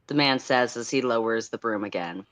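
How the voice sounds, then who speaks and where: A man's voice: mid-range voice, normal speed, a bit bright, dry recording. A man co-hosting a livestream in a casual style, as he chats and reads fan fiction aloud. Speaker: co-host and story narrator.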